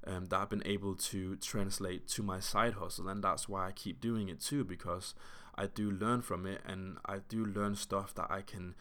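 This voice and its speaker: Young guy in his twenties, low voice, earnest solo podcast ramble with lots of "you know". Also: level tone, normal speed, fairly bright tone, clear, resonant tone. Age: 20s. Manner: earnest, reflective, many fillers and restarts. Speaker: podcast host.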